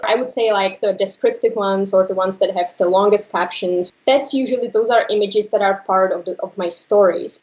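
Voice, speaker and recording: A woman from the Czech Republic talks about her photo work in a halting, searching way, often stopping to find a word. Her voice sits at an ordinary middle pitch. The recording sounds a little dull and lo-fi. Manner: hesitant, many fillers and restarts. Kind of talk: interview answers.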